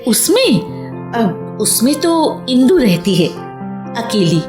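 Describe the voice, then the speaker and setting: A chatty, hospitable village neighbour in a radio drama. She speaks unhurriedly in a high, very expressive voice, with music behind her. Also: takes it slowly, reverberant. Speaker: radio play actress. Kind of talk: Hindi radio drama acting.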